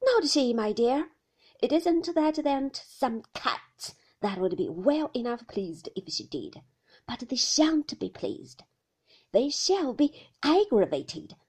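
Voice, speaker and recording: A woman's voice: sits high, big pitch swings, slow pace, darkish tone, slightly rough, heavily acted. A woman voicing a devoted, scolding maidservant in a storybook, with emphatic, homely exclamations. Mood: fiercely devoted. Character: devoted, scolding older maidservant, blustering and tender.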